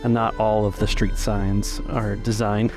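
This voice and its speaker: A man narrating a tabletop role-playing game as game master, describing scenes haltingly in the present tense. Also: on the low side, flat delivery, over music.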